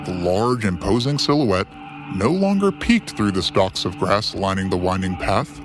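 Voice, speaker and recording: A male storyteller with a low voice and lively, varied pitch. He narrates in a theatrical, ornate style with drawn-out pauses, over clear background music. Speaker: storyteller and host.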